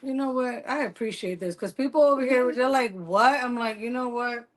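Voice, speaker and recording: A woman's voice: on the high side, normal speed. A woman with a New York accent chatting casually on a podcast, frank and laughing. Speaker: podcast guest. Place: San Diego.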